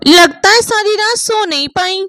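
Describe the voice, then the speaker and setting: Woman voicing a haughty young rich wife, very high-pitched. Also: unhurried, sharp tone, no echo, clean studio sound, exaggerated voice. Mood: mocking. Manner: taunting, sneering, dramatic. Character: haughty, spiteful young rich daughter-in-law.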